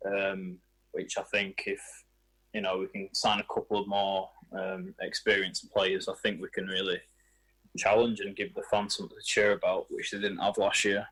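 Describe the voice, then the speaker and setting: A man's voice: low voice, little pitch movement, normal speed, a bit bright, breathy edge, low-quality recording. A young man answering casual sports interview questions as a footballer. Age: young adult.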